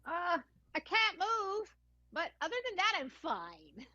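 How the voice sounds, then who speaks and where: A woman voicing an injured female stage performer who speaks weakly and haltingly in an improvised radio play. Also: very high-pitched, sing-song, bright, forceful tone, exaggerated voice. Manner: weak, halting speech. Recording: distant, muffled lo-fi sound.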